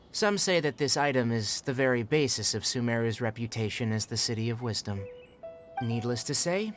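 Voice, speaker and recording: A man voicing a composed, astute young forest ranger in a video game, speaking in a measured, matter-of-fact, articulate way. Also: medium pitch, natural voice. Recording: background music under the voice.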